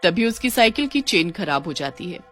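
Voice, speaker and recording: A woman reading scripted narration for an animated horror bedtime story. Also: on the low side, moderate pace. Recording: music under the voice.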